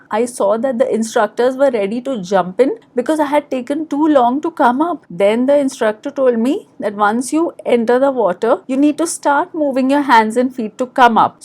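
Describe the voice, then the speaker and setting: A woman's voice: a little high, a little mellow. A woman with an Indian accent telling personal memories as a podcast host, in a plain-spoken, reflective manner. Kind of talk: personal storytelling.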